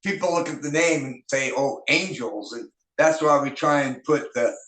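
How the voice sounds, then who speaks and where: A man's voice: on the high side, normal speed, a bit bright. A man, a rock band's singer and guitarist, telling stories from his past in a loose, rambling way, with many fillers and restarts. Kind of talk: casual interview storytelling. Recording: echoey room.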